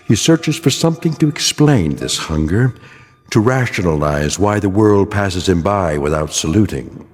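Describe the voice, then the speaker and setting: A man narrating a radio drama in a grave, measured, ironic way. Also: fairly low pitch, a little mellow, breathy edge, big-room sound.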